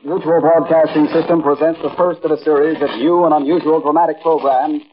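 High-voiced American 1940s radio announcer, male. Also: steady tone, brisk. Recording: muffled, noisy vintage radio broadcast.

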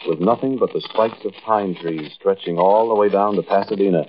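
An actor playing an intense, haunted American man who confides an eerie tale straight to the listener in a 1940s radio monologue, with emphatic pauses. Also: deep voice, lightly acted. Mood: hushed, deliberate. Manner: conversational, addresses listener directly, emphatic pauses. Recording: muffled, noisy old radio recording.

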